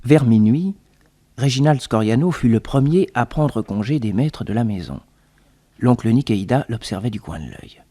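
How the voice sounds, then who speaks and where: A man acting as narrator of a French detective radio serial, with a slightly husky voice, telling it suspensefully. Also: medium pitch, animated, moderate pace, warm tone, close to an everyday voice. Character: detective's loyal assistant recounting the adventure.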